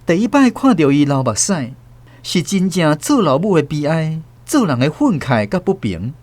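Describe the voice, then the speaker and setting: A man narrating a radio drama as host, with expressive storytelling. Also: on the high side, normal speed.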